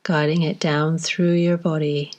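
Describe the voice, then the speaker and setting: A woman leading a guided body scan meditation, giving slow, gentle instructions with long pauses between phrases. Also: low voice, little pitch movement, dull audio.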